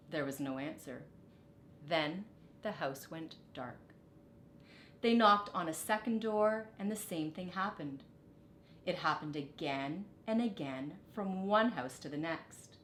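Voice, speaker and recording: A middle-aged woman reading a storybook aloud like a teacher, deliberate and expressive, with short explanatory asides. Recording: roomy echo, faint rumbling background noise.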